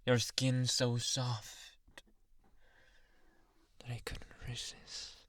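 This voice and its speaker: A man playing a jealous, obsessively loving anime boyfriend in an ASMR roleplay, breathy and often whispering, slow and menacingly tender, close to the microphone. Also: mid-range voice, sharp tone, dry recording, exaggerated voice. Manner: slow, intimate, menacingly tender, lingering on words. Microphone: very close, dry ASMR microphone.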